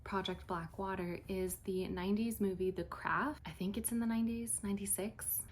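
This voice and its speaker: A woman with a cold, a little nasal, chatting casually in a vlog and often dropping to a soft whisper. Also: medium pitch, slight background noise. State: has a cold. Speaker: writer and vlogger.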